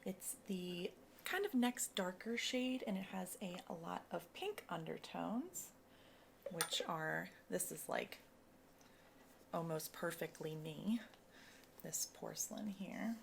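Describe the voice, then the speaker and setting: A soft-spoken woman doing an ASMR cosmetics consultation roleplay, breathy and often slipping into a whisper, explaining each step in a casual, reassuring way. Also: fairly low pitch, expressive, slow pace, a little hiss or hum.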